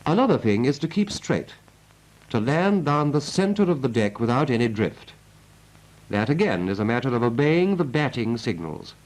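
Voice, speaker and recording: A British man delivers scripted instruction in the style of a 1940s military training film. His pitch is medium and moves up and down in a lively way. He sounds clipped and methodical, like an instructor, on a period film soundtrack. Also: moderate pace, rough recording.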